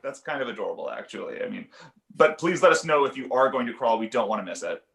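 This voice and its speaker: A man's voice: mid-range voice, expressive, fast talker, gravelly, a bit bright. A man playing an easygoing, indulgent dad in his thirties, placating his family in a live comedy table read. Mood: gentle indulgent. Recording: echoey room sound.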